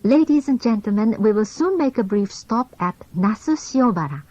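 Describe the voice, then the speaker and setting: A woman's voice: fairly high pitch, animated, warm tone, dry recording. Dull-sounding taped female train announcer. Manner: formal, measured delivery. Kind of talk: scripted onboard train announcement. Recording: dull lo-fi old cassette tape.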